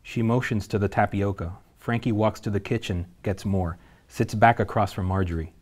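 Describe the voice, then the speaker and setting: Narrator for a table read: a low-voiced man, steady and matter-of-fact. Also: moderate pace. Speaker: narrator reading stage directions.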